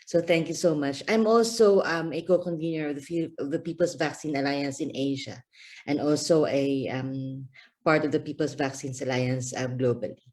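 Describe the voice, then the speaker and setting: A woman's voice: low-pitched, steady tone, normal speed, a little mellow. A woman from the Philippines giving an earnest talk at a press briefing over a video call, with frequent fillers. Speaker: guest speaker, campaign manager.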